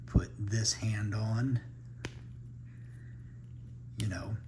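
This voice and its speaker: A man with a fairly low voice talks through a toy review in a relaxed, off-the-cuff way, thinking aloud as he goes. A faint hiss sits under the recording. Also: drawn-out words. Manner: relaxed, thinking aloud while handling toys. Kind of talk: casual unboxing review.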